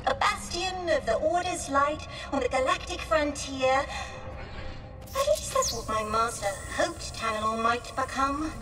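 A woman's voice: sits high, sing-song, unhurried. A woman playing a prim, wistful droid in a video game, formal and a little forgetful, with hesitant, fussy phrasing. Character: prim, wistful, long-stranded female droid, gently forgetful. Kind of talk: video game character acting. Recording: loud music behind, slightly distant.

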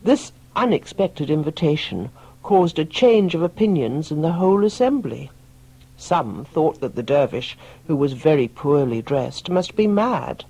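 A storyteller reading a tale as an audiobook, in a soft, breathy voice with measured delivery and dramatic pauses. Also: mid-range voice, poor audio. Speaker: storyteller narrator. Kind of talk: scripted audiobook story narration.

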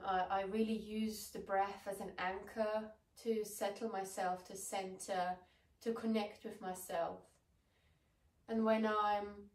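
A woman in her thirties with a blocked nose from a cold, guiding a yoga session in a gentle, soothing way from across a bare living room. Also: mid-range voice. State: congested with a cold. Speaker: yoga teacher. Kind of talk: guided yoga instruction. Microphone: far camera. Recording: echoey room, mic far off.

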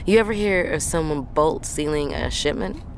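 A woman's voice: low-pitched, normal speed. An actress playing a tough, wry, guarded woman truck driver, speaking bluntly and naturally in an audio drama. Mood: guarded, casual. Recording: vehicle noise in truck cab.

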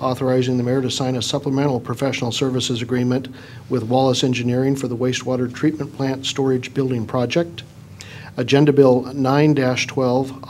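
A man chairing a city council meeting, steadily reading agenda items and running the procedure. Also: mid-range voice. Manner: steady, even reading of long agenda lists. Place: council chamber. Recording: faint room noise in a council chamber.

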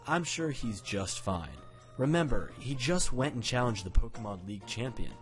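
A man voicing a calm, elderly grandfather who speaks steadily in a narrated story. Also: mid-range voice, soft background music, close to an everyday voice. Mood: reassuring. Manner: slow, composed.

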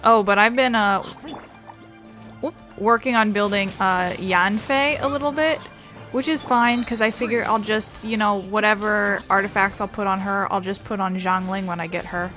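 A woman's voice: mid-range voice, fairly even intonation, normal speed, fairly bright tone. Wry woman gamer commentating over music. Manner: wry, rambling, joking. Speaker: gaming streamer. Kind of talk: casual playthrough commentary. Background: game music.

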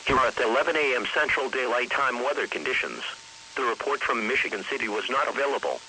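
A man's voice: mid-range voice, animated, fast, sharp tone, poor audio. A man's synthetic voice reading an automated weather conditions report as a radio announcer. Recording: hissy weather radio broadcast.

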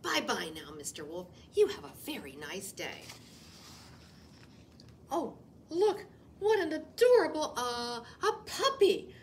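A woman's voice: fairly high pitch, sing-song, slow pace, pauses often. A woman voicing a nervous, fibbing little rabbit in a children's picture book, stammering and insisting with flustered emphasis. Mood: nervous denial. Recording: distant mic, faint room noise.

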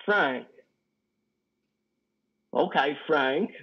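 A man acts out a lonely, wounded trucker in a stage drama, higher-voiced and husky. He talks in halting, folksy, simple sentences, weighed down by guilt, over a muffled video call. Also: expressive, full character voice. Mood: timid, apologetic. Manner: halting, stammering, folksy ungrammatical speech. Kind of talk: dramatic play reading. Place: indoor room on a video call. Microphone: headset.

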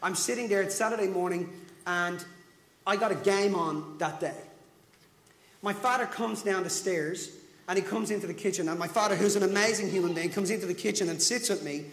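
A man's voice: high-pitched, brisk. A man in his forties with a Dublin Irish accent, telling a story on stage as a motivational speaker, moving and gesturing as he talks. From Ireland. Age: 40s. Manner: storytelling, animated, gestures widely while pacing. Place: large conference room with audience. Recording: echoey hall with reverb.

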